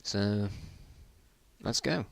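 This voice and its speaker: A man's voice: on the low side, extremely slow, vocal fry, dry recording. A man reading his own old stories aloud in a casual dramatic style, with self-mocking, bemused asides.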